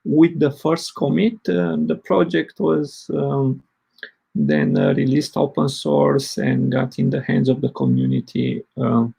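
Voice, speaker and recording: Man, gravelly voice, slow and halting, conference talk. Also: mid-range voice, expressive, mellow. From Romania. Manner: hesitant, many fillers. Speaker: open source project lead presenting.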